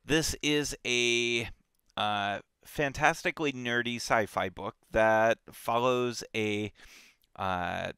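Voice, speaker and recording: A man co-hosting a live book discussion stream, relaxed and blunt, with many fillers. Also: medium pitch, slow, clean tone, dry recording, clean studio sound. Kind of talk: casual live-stream chat.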